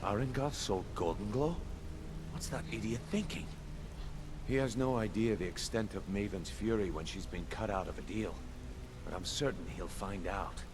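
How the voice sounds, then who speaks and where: A man's voice: on the low side, normal speed, close to an everyday voice. An actor playing a shrewd veteran guild boss in a fantasy video game, brusque and businesslike with his underling. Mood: exasperated. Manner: brusque, approving, calls people lad. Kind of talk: video game character dialogue. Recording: clear noise behind the voice.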